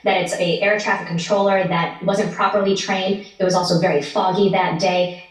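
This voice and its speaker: A heavily echoing, slightly distant recording of a woman reporter with a lowish voice. She explains things conversationally, as a guest on a live news interview. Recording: very echoey, distant from the mic.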